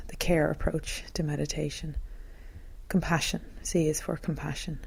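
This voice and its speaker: A woman meditation teacher speaks in a low, mellow voice with a husky, breathy edge. She guides the listener gently and calmly, in soothing phrases meant for relaxation.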